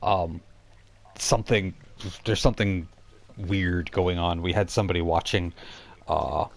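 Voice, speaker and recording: A man's voice: sits low, steady tone, unhurried, gravelly. A man with an informal, explanatory way of talking, improvising as game master of an online role-playing game.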